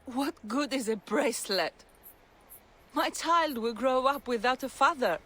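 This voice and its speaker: An actress playing a proud, bitter, grief-stricken widow in a video game cutscene. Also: female voice, high voice, measured pace, sharp tone, full character voice. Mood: grieving, bitter. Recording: faint music behind the voice.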